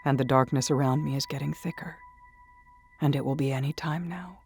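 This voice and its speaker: A woman reading a first-person horror story in a hushed, dreamy way that slips into whispers. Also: very deep voice, steady tone, brisk, gravelly. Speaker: narrator. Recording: soft music behind.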